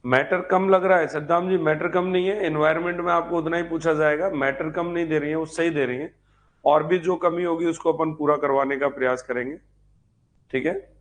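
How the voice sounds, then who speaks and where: Man, slightly high pitch, history teacher, informal live class, repetitive explanations, frequent check questions. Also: steady tone, moderate pace. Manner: repeats key points and asks check questions. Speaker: history teacher for exam preparation. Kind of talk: informal live online lecture.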